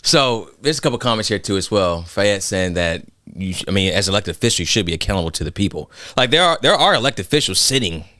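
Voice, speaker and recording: Podcast host: man, low pitch, fast delivery, presses hard on his guest. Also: expressive, bright, forceful tone, no room sound, studio quality. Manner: pressing, reads viewer comments aloud. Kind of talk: podcast interview.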